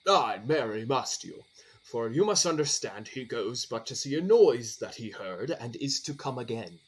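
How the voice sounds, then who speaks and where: An actor playing a fussy, flustered director of amateur players who stammers and corrects, in comic Shakespearean audio drama. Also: male voice, mid-range voice, big pitch swings, moderate pace, slightly rough, rough recording.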